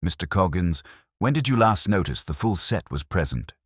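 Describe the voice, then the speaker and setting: Man playing a deep, courteous police inspector. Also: very animated, slightly rough, dry recording, clean studio sound, heavily acted. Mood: calm probing. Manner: measured, gentle questioning. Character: courteous, quietly incisive male police inspector. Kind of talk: mystery story dialogue.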